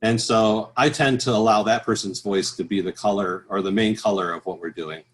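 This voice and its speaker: A man speaking as an interview guest, explaining things conversationally as a choral professional, with halting, repeated phrases. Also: fairly low pitch. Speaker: interview guest, professional bass singer and choir director.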